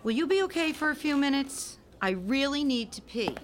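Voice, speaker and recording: A woman's voice: high voice, lively intonation, moderate pace, noisy background. A woman acting in a radio play as a tired, practical mother who is direct and no-nonsense. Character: tired, blunt, practical mother of a sick baby.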